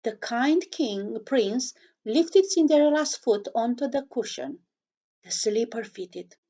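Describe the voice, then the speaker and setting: A woman's voice: on the high side, animated, slow, mellow, muffled recording. A woman reading a children's storybook aloud, expressively, chatting to young listeners with questions and asides.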